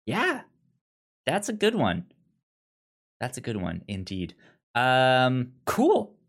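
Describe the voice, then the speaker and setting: A man's voice: medium pitch, huge pitch swings, slow. A man co-hosting a podcast in casual, unscripted chat, hesitant and often stammering through repeated false starts. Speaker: podcast co-host. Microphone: remote online call.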